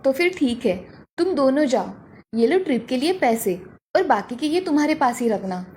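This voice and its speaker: A caring, firm mother from a cartoon moral tale, acted by a woman. Her voice sits high for a grown woman, with light music underneath. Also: big pitch swings, moderate pace, darkish tone. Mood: agreeable. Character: caring, firm mother of two college daughters. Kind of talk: Hindi animated moral story dialogue.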